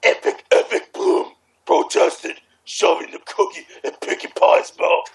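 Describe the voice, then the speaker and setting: A man reading amateur fan fiction aloud casually, stumbling over words and hamming up the quoted lines. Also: a little slow.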